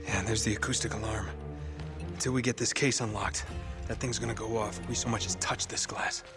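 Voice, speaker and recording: An American actor playing a cocky, wry treasure hunter in a casual, conversational way for a video game cutscene. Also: male voice, fairly low pitch, fairly even intonation, brisk, close to an everyday voice. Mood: focused. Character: cocky, wisecracking male treasure hunter, adaptable and improvising. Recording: cinematic music behind the voice.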